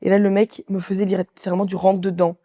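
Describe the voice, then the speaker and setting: A woman's voice: medium pitch, flat delivery, fast talker, dark tone. A woman, chatting casually and telling anecdotes as an interview guest, talkative and full of slang and quoted dialogue. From Belgium. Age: 20s. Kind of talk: casual chat, anecdotal storytelling.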